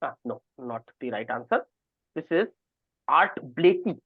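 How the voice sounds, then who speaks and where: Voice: medium pitch, sing-song, unhurried, husky, no room sound. A quizmaster reading trivia questions aloud on an online call and ruling on the answers. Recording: thin phone-like online call audio.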